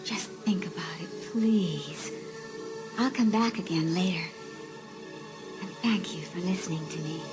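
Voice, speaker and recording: A woman voicing a teenage girl's ghost in a mirror, soft, hushed and gently pleading. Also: on the low side, unhurried, pauses often, music in the background, natural voice. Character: faint ghostly teenage girl spirit in a mirror.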